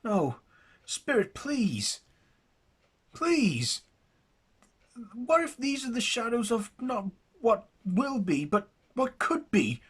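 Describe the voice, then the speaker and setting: A man voicing a grown man who begs a spirit for another chance, pitched far above his own voice and breaking with desperation. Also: sing-song, slow, pauses often, fairly bright tone, breathy edge, heavily acted. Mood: desperate pleading. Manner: desperate, high-pitched begging.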